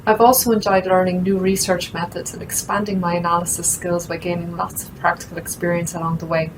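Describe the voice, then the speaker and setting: A woman's voice: fairly low pitch, little pitch movement, brisk, noisy background. A young woman, talking steadily and earnestly about her studies into a laptop webcam at home. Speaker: master's student. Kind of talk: prepared testimonial talk. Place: room at home with shelves.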